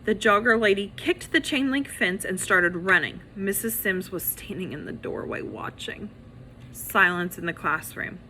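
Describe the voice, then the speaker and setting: A woman reading a children's story aloud as a teacher, in an expressive, animated way that acts out the characters. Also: medium pitch, measured pace, a little hiss or hum, rough recording.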